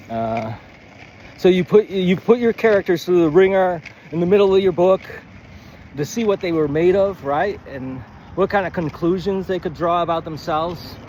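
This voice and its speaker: Against a noticeable backdrop of outdoor traffic noise, a man with a slightly high voice teaches informally as a writing coach. He rambles and stammers, restarting his thoughts and drifting into digressions as he thinks out loud. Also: lo-fi sound. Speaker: writing coach, presenter of a video series. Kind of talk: rambling informal lesson to camera.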